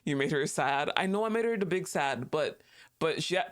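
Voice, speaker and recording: Low-voiced woman streamer, teasing. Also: animated, normal speed. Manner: chatty and teasing, laughs often, talks to the game. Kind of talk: casual gaming commentary.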